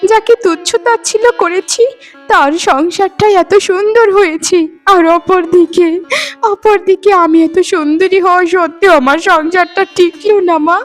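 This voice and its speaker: Woman acting a very high-pitched, plaintive young wife. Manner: pleading, emotional, repeats phrases for pathos. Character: young married daughter, plaintive, melodramatic, wronged or grateful. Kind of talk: Bengali cartoon voice acting.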